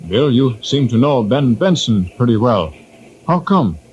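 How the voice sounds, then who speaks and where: An actor playing a stoic, dryly humorous ranger who speaks terse, clipped pidgin English in an old radio drama. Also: male voice, medium pitch, sing-song, unhurried, a little mellow, rough recording. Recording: hissy old time radio recording.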